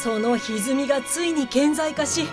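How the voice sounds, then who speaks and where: A woman's voice: sits high, moderate pace, lightly acted. A woman playing a grave, foolishly reckless company president in a comic radio drama, speaking with solemn, portentous weight. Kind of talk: comic radio drama, mock-epic speech. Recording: music behind the voice. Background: prominent background music.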